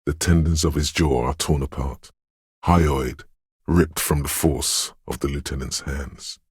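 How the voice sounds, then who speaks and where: A man voicing the eerie, brooding inner voice of a detective's intuition in a video game, with slow, ominous, dreamlike narration and a husky, gravelly quality. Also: extremely low pitch, steady tone, dry recording, studio quality, full character voice. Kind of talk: scripted video game narration in second person.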